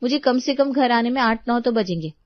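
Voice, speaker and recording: Woman as cheeky high-pitched young woman. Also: a little fast, dry recording, muffled recording, natural voice. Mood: breezy, defiant. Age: young adult. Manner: breezy, playful. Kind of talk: acted Hindi cartoon story dialogue.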